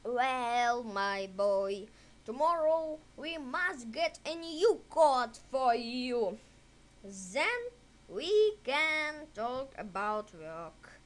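Woman reading as a slow, coaxing storybook uncle. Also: high voice, full character voice. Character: smooth-talking, coaxing adult uncle, male storybook villain.

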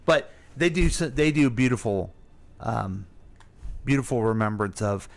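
A man's voice: medium pitch, lively intonation, normal speed, gravelly. American man in casual radio banter. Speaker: radio co-host asking questions. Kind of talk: casual radio talk show chat.

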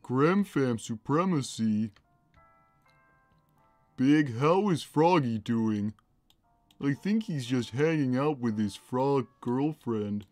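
A man voicing a huge, gentle, simple-minded cat who talks plainly and innocently on a gaming livestream. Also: medium pitch, expressive, dry recording, faint music behind. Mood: placid. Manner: plain, slow, naive, reads chat messages aloud. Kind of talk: in-character gaming livestream chat.

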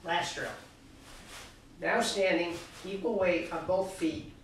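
A middle-aged man coaching skiing, calmly explaining foot movements and exercises while he demonstrates in a cluttered indoor workshop, filmed by a camera across the room. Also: high-pitched, slow, a little hiss or hum. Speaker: ski coach. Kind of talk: instructional demonstration. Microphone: far camera. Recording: echoey room, mic far off.

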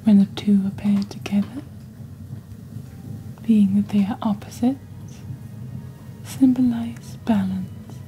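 A young woman presenting products in a soft, breathy, near-whispered ASMR delivery, deliberate and scripted, close to the microphone. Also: mid-range voice, fairly even intonation, soft background music, low-quality recording. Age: young adult. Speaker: ASMR host playing a shopping channel presenter.

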